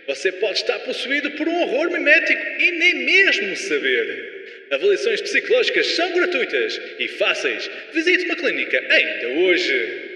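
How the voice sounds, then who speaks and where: Dystopian PA authority figure male actor: menacing, declamatory, piercingly bright, distant loudspeaker sound with heavy reverb. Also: extremely high pitch, exaggerated voice. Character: stern, menacing authoritarian public-address announcer of a dystopian city. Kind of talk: dramatized propaganda and police announcements. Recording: echoey, distant, long hall reverb.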